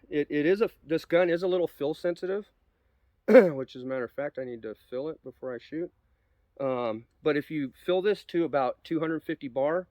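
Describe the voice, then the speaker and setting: A man's voice: fairly high pitch, a little mellow. A man with a cold, sniffly, giving a rambling casual review of an air rifle outdoors, with many ums and restarts. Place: outdoors at a shooting spot.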